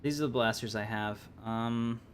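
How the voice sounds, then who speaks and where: A man's voice: medium pitch, normal speed, a little hiss or hum. A man giving casual live gameplay commentary, musing aloud and hesitating as he thinks through choices. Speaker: game livestreamer, host.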